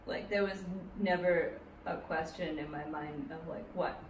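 A woman's voice: sits low, fairly even intonation, unhurried, slight background noise. A woman in her twenties with an American accent, answering casually as an interview guest. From United States. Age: 20s. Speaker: interview guest, Muay Thai fighter. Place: small hard tiled room indoors. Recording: echoey tiled room, distant mic, background music.

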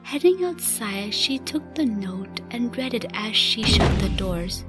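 A woman's voice: on the high side, sing-song, unhurried. A woman narrating a scripted radio play story as its storyteller. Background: music.

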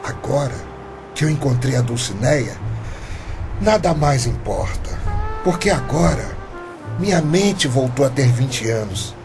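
A man's voice: mid-range voice, expressive, unhurried, over music. An actor with a Brazilian accent playing an earnest, stubborn, lovestruck eighty-year-old man in a radio drama. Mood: earnest, resolute.

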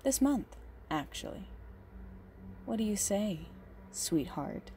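A woman playing a big, muscular goth girlfriend, possessive and unhinged yet doting, in an intimate, halting ASMR roleplay monologue. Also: mid-range voice, lively intonation, warm tone, slight background noise. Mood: coaxing, smug. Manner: slow, halting, doting, menacing under sweetness.

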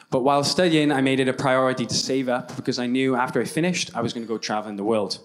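A young man with a Welsh accent telling stories with self-deprecating humor in a keynote talk on a conference stage, speaking into a handheld mic. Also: medium pitch. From Wales. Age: young adult. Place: large conference hall stage.

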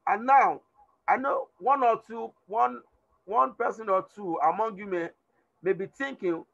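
A man with a hoarse, tired voice teaching a live online trading class, repeating himself and urging students to respond. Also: sits high, a little slow, fairly bright tone, lo-fi sound. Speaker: trading instructor. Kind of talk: live online class over a chart screen.

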